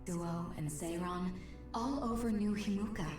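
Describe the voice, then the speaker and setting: A woman's voice: medium pitch, a little slow, lightly acted. Echoing, solemn motherly apparition voiced by a woman. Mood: solemn pleading. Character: computer system posing as a gentle, pleading mother apparition. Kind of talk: dramatic game cutscene acting. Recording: echoing voice under loud music.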